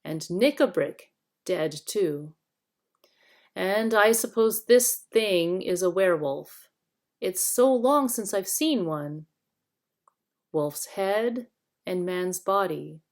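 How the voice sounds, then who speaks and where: Woman reading as a composed, measured boy king. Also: mid-range voice, lively intonation. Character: boy high king, composed, courteous leader.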